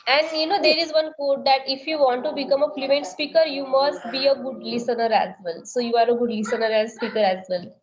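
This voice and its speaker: A woman from India with an Indian English accent and native Marathi, tutoring conversation practice over a video call, speaking slowly and carefully, often repeating her questions. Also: sits high, fairly even intonation, highs cut off.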